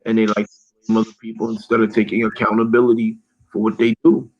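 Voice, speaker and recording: Man, low voice, agreeable, casual chat, muffled lo-fi sound. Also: monotone, darkish tone. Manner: agreeable, quick affirmations.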